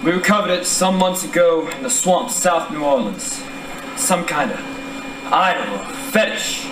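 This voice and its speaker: An actor playing a polite, earnest police inspector from New Orleans in a staged radio drama. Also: male voice, a little high, expressive, unhurried. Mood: grave. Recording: echoey distant stage, noisy.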